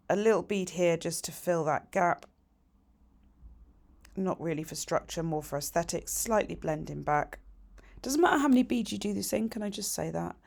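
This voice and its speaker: A middle-aged woman with a croaky voice, chatting her way through a nail tutorial voiceover with practical, cheerful asides. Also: low-pitched, a little mellow. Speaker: nail technician teaching a tutorial.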